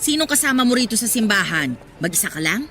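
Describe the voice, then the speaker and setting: A pious, meddlesome older churchgoer in a radio drama. The mid-pitched voice is emphatic and prying, quick to admonish. Light music runs behind the dialogue. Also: female voice, big pitch swings, bright, forceful tone. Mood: calling out. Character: nosy, pious, scolding older village churchwoman. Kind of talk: Filipino radio drama acting.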